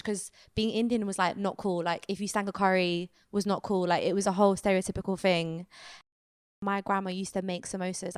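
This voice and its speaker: A young woman talking as a guest on a radio show, chatty and animated, filling her sentences with "like". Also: medium pitch, smooth, dry recording, clean studio sound. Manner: chatty, animated, many fillers of like. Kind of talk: casual radio chat.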